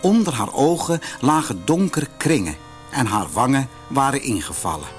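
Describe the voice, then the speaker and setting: A man reading a children's fairy tale as scripted narration, in an expressive, measured storybook manner. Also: mid-range voice, soft background music.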